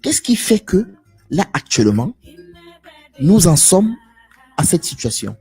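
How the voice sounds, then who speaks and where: A man's voice: on the high side, big pitch swings, measured pace, warm tone, husky, faint music behind. A man giving an impassioned live monologue to viewers, emphatic and preachy, repeating phrases and asking rhetorical questions. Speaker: live stream speaker.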